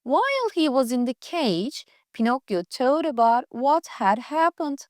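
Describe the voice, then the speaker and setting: A woman reading a scripted children's fairytale aloud for English learners, with careful, deliberate phrasing. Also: high voice, very animated, dry recording, studio quality. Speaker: storyteller.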